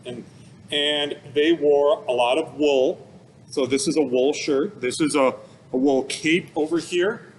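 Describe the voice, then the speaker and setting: A man's voice: a little high, steady tone, unhurried, a little hiss or hum, poor audio. A man giving an informal, plain-worded explanatory talk for children, like a museum expert. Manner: casual, plain-worded explanations with everyday comparisons.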